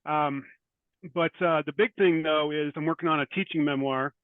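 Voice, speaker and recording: Male interview guest, writer. Slightly high voice, close dry sound, thoughtful stop-and-start delivery. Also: fairly even intonation, no room sound, narrow, tinny audio. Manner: thoughtful, halting, repeats words. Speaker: guest, short fiction writer. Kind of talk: interview conversation.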